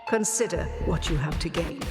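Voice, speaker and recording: A performer voicing an aging, stern alien former ruler in a game, speaking in a measured, solemn, commanding manner. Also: medium pitch, natural voice. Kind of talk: scripted dramatic game cutscene dialogue. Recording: long hall reverb over loud music.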